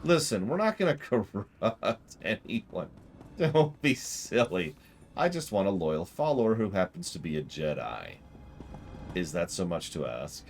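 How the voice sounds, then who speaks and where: A man's voice: low-pitched, sing-song, a little slow. A man giving casual live commentary as a game streamer, emphatic and digressive.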